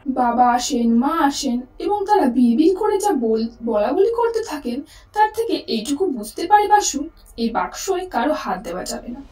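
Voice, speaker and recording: A woman narrating a dramatised suspense story in an expressive, theatrical way. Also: high-pitched, echoey room, far from the mic, low-quality recording. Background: faint music.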